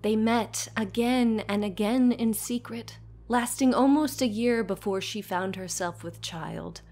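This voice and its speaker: A woman narrating a scripted horror story as a storyteller, dramatic and deliberate, dropping at times toward a whisper. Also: a little high, a little mellow.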